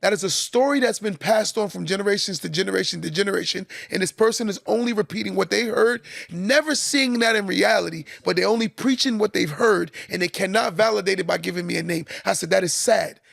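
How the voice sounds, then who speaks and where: A man's voice: high-pitched, a little fast, creaky, few pauses, a bit bright, no echo. A man hosting a live podcast, preaching to listeners and repeating key lines for emphasis. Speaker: podcast host. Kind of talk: motivational live-stream talk.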